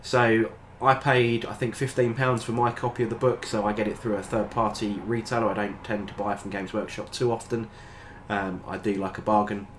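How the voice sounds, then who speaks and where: An older British man talks casually to his viewers, his voice sitting a little low. A head cold leaves him nasal and stuffy, and he rambles along with plenty of ums and uhs. A faint hiss sits under the recording. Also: little pitch movement, normal speed. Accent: British English. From Britain. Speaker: tabletop gaming channel host. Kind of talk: casual review talk to camera.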